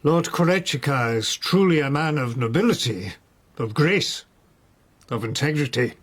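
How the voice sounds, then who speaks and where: An older court noble, wry and whimsical, dodges with irony; radio play. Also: male voice, fairly high pitch, expressive, normal speed. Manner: musing, playful, gently ironic. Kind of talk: British radio drama acting.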